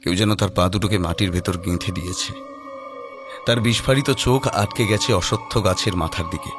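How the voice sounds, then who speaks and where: A man's voice: low-pitched, fairly quick, music in the background. A man narrating a scripted horror story in a dramatic manner.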